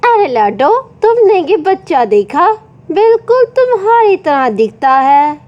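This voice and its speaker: A woman's voice: extremely high pitch, sing-song, measured pace, darkish tone, highs cut off, heavily acted. A woman voicing a friendly, concerned male crow in a cartoon, earnest and exclamatory. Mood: friendly, curious.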